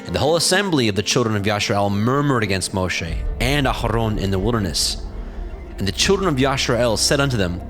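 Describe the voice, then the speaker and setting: A man's voice: fairly low pitch, expressive, fast, fairly bright tone. A man narrating scripture from a script in a solemn, formal storytelling manner. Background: prominent music.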